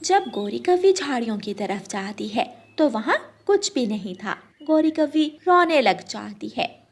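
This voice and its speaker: A woman's voice: on the high side, sing-song, a little slow. A woman reading scripted children's story narration.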